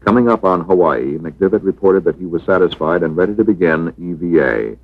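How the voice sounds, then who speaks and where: A man with a very low voice narrates a documentary from a script. His delivery is measured and matter-of-fact. The sound is thin and boxy, like an old 1960s film reel. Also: lively intonation, narrow, tinny audio.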